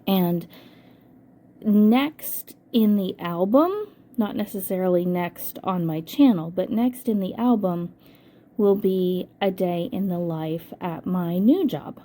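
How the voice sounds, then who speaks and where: An older woman with a hoarse voice from a cold, casually narrating a scrapbooking voice-over and thinking aloud with frequent ums. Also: on the low side, takes it slowly, dark tone. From United States. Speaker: scrapbooking channel host.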